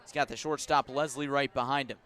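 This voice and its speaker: A man doing live radio baseball play-by-play, describing each pitch in detail and filling in with player stories. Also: brisk, clean tone. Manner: descriptive, storytelling between pitches, rising to excited calls on big plays.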